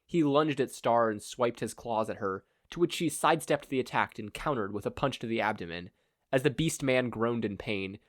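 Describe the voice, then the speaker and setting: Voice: medium pitch, dry recording, clean studio sound. A narrator reading a scripted fan fiction story aloud. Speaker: podfic narrator.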